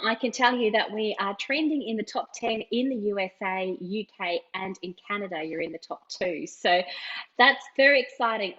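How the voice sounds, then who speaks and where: A woman's voice: mid-range voice, a bit bright. A woman, hosting a casual podcast and asking her guest questions in an upbeat way. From Australia. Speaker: podcast host. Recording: dull lo-fi audio.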